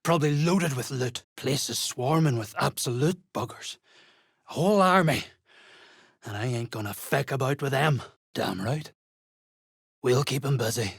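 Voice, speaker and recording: Fantasy game duergar, gruff mercenary type: husky, creaky, threatening, coarse. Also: male voice, mid-range voice, moderate pace, long pauses, dry recording, clean studio sound. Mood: barking orders. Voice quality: gravelly, husky. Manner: coarse, blunt, barking orders. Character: gruff male duergar mercenary clan fighter, surly and threatening. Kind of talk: fantasy game character acting.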